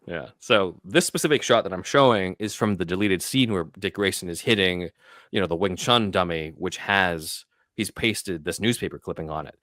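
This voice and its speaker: Low-voiced man breaking down a film. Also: moderate pace, a bit bright, no room sound, clean studio sound. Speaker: podcast host. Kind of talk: casual film breakdown, talking through scenes.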